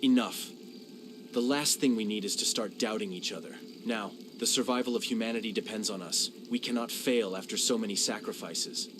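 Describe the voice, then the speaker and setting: A man voicing a stern, ruthless High Inquisitor, firm and cold. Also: a little fast, noisy background, close to an everyday voice.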